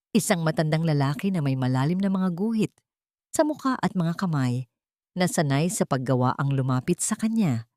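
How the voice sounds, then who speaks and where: A woman narrating a scripted dramatic audio story in a measured, literary way. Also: fairly low pitch, mellow, no echo, clean studio sound.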